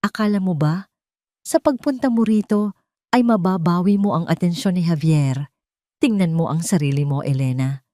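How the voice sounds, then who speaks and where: A woman's voice: on the low side, moderate pace, dark tone, no echo, clean studio sound, lightly acted. A woman voicing a haughty, spiteful mistress who taunts and draws out her jeers, in an audio drama. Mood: mocking, spiteful.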